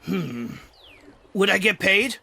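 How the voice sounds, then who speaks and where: An actor playing a cheeky, eager pirate crewmate with a playful, excitable manner in an audio drama. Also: male voice, high voice, slow pace, gravelly, slightly rough, heavily acted.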